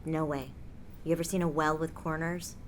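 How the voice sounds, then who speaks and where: Odd female apartment dweller played by an actress, American, lower register, blunt, offhand and sweary, naturalistic audio drama. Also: level tone, moderate pace, background noise, lightly acted. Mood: eager. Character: odd, blunt female apartment tenant, obsessed with a mysterious hole.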